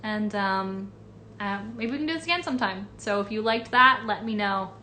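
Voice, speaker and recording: A woman's voice: medium pitch, moderate pace, sharp tone, slight background noise. A young woman from Los Angeles, a voice actress casually answering fan questions on a live stream, reading comments aloud with lots of fillers.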